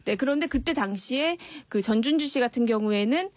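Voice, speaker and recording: A woman reporter on a radio talk show, eager and quick to laugh, walking through her fact-checking. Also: fairly high pitch, expressive, no room sound. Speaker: entertainment reporter. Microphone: studio microphone. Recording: dull dry studio sound.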